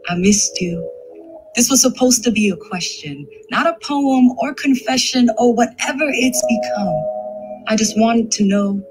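A woman in her thirties performing her poetry in a rhythmic, expressive spoken-word style. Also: a little high, unhurried, rough recording. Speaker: poet. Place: studio. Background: music.

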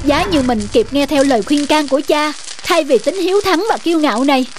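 A woman's voice: high voice, brisk, over music, close to an everyday voice. A woman voicing a headstrong teenage demigod son in a cartoon, earnest, insistent and theatrical. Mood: dismayed. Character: headstrong eighteen-year-old demigod son, boastful and eager. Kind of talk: dubbed mythological cartoon acting.

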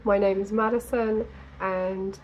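A woman's voice: medium pitch, steady tone, measured pace, highs cut off, very noisy. Chatty woman with a fraying voice. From United Kingdom. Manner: rambling, chatty, frequent ums and laughs. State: losing her voice, slightly gravelly. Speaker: crafting podcast host. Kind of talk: casual chat to camera. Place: small room in a flat, indoors.

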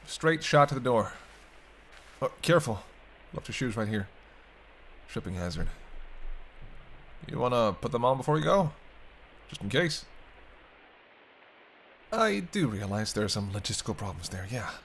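Guy acting as a teasing male vampire, wry banter, kinda protective, talking right to you in a roleplay audio, medium pitch. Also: sing-song, moderate pace, pauses often, a bit bright, slightly rough, heavily acted. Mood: gentle, guiding. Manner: bantering, wry, murmured asides. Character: teasing, sardonic male vampire, centuries-weary but protective. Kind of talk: intimate roleplay audio drama, addressing the listener.

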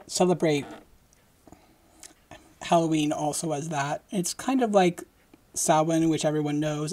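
Young adult solo podcaster, mid-pitched, slow and halting, close-mic home recording. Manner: halting, many fillers and restarts; speaks slowly with lively hand gestures. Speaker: podcast host. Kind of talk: casual solo podcast chat. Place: decorated home room, indoors. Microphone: large studio mic close in front.

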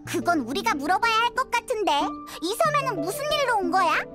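A woman voicing a small, cheeky, excitable floating fairy girl companion for a video game, with a squeaky childlike voice. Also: fairly quick, over loud music. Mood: puzzled, indignant. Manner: blunt, excitable, huffy.

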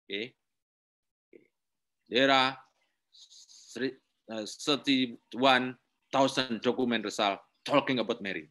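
A man's voice: mid-range voice, steady tone, bright, forceful tone. A man with an Indonesian accent, a lecturer giving a webinar tutorial in simple English and saying "okay" often. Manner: slow, repetitive, punctuates with "okay"; simple non-native English. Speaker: university lecturer. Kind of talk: webinar tutorial, screen-share demonstration.

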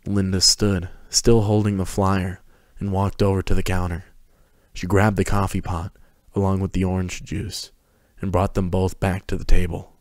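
A man reads a story as narrator in a low, level voice. The delivery is scripted and measured in tone, and the sound is dry and close. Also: flat delivery, no room sound.

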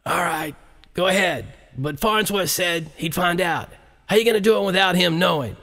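A man's voice: high-pitched, moderate pace, pushed and bright, pitched above the performer's own voice. A man voicing a stubborn, indignant US president with a blustering, defensive manner in an audiobook. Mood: uneasy. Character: indignant, stubborn male US president under pressure.

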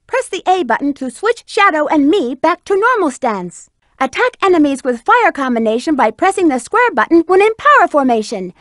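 A woman's voice: sits high, very animated, normal speed, a bit bright, no room sound, studio quality. A woman voicing a sassy, flirty female bat treasure hunter in a video game, teasing and self-assured. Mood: instructive. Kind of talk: video game character voice acting.

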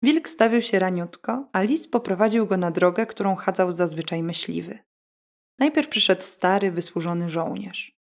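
A woman's voice: on the low side, normal speed, creaky. Woman narrating a bedtime fairy tale. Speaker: storyteller.

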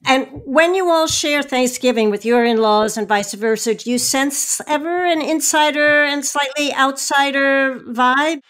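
A woman's voice: high voice, unhurried, few pauses, fairly bright tone. A woman radio host interviewing guests and reading announcements in a public radio style.

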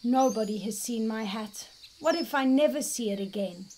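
Woman as a high-voiced, earnest storybook bear. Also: moderate pace, a little mellow, a little hiss or hum, lightly acted. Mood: forlorn. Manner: plain, polite, earnest. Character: big, polite, forlorn bear missing its hat. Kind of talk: children's storybook read-aloud.

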